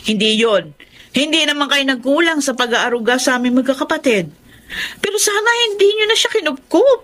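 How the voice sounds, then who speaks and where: An actress playing a resentful, sharp-tongued adult child in a radio drama, scolding and scornful. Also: female voice, sits high, expressive, brisk.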